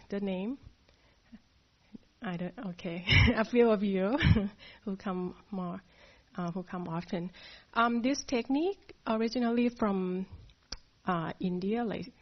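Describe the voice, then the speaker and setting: A woman from Thailand teaching a meditation class, speaking softly and hesitantly with many pauses. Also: mid-range voice. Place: meditation hall. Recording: dull lo-fi sound.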